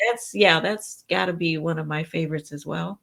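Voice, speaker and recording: A woman with a somewhat low voice talks enthusiastically and reflectively in a relaxed conversation. Fillers appear naturally as she thinks aloud. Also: moderate pace, creaky. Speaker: co-host. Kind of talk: casual chat.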